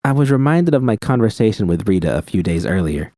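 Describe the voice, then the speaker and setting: A man narrating first-person fiction in a steady, plain reading voice. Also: fairly low pitch, quick pace, dry recording, studio quality. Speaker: audiobook reader.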